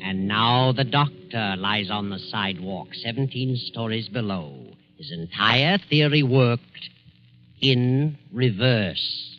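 An American man narrating 1940s radio suspense in a measured, dramatic, ominous manner. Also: on the low side. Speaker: narrator and announcer. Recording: dull old radio recording. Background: music.

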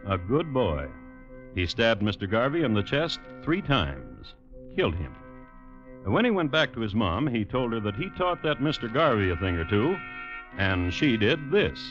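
A man hosting and narrating old-time radio true crime in a deadpan, wry, deliberate way. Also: mid-range voice. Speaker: host and narrator. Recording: muffled old radio recording. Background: music.

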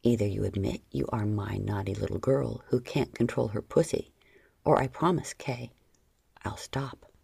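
A woman's voice: steady tone, gravelly, a little mellow, slightly rough, no room sound, exaggerated voice. A woman voicing a commanding, teasing adult male lover in an audiobook. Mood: stern. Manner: low, slow, dominant and teasing.

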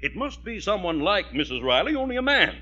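Casting: scheming American machine politician, oily flatterer, bright forceful voice, very animated, vintage radio comedy. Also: male voice, high voice, full character voice. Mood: oily, flattering. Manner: oily, theatrical, fast-talking schemer. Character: sly, crooked male political boss, falsely flattering. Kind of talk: 1940s radio comedy acting. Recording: muffled lo-fi old radio recording.

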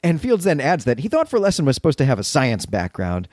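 Man recapping a story with lively intonation. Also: medium pitch, quick pace, no room sound, clean studio sound. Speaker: podcast host. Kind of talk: conversational literary recap and commentary.

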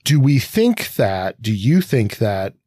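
A man with a lowish voice talks as a podcast co-host in a conversational literary discussion. He is analytical and builds questions at length, circling through options. The sound is very dry, with hardly any room on it. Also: sing-song, slow, dark tone, dry recording. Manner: long-winded analytical questioning.

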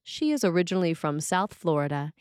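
A woman reading a scripted sermon in a measured, deliberate way with pauses between phrases. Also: fairly low pitch, very animated, a little mellow, clean tone, no echo, clean studio sound. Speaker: sermon reader.

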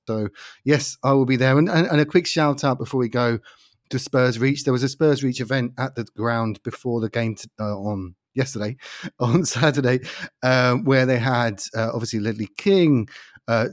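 A man rambles through a casual football chat and laughs at his own slips. His voice is mid-pitched, and the sound is dry and close, with no room echo. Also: normal speed. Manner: rambling and self-mocking, with frequent restarts. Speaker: podcast co-host.

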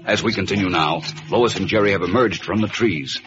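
A man's voice: low voice, fairly quick, noisy background. A man narrating a radio serial in a theatrical, portentous 1940s announcer style. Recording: dull old radio recording.

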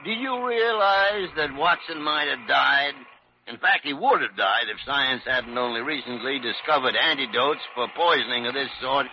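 An actor playing an older senior doctor in an old-time radio drama, crusty, explanatory and insistent. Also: male voice, medium pitch, animated, normal speed, natural voice. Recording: muffled, noisy vintage radio recording.

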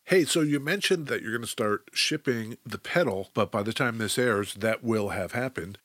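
A man's voice: on the low side, normal speed, fairly bright tone, no echo. A man hosting a casual interview show. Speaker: podcast host.

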